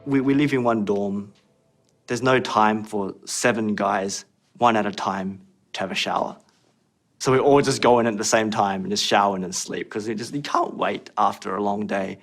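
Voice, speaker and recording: A man's voice: on the low side, fairly even intonation, normal speed. A young man, talking candidly in an interview and laughing now and then. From Australia. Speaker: interviewee, former trainee. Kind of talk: casual interview.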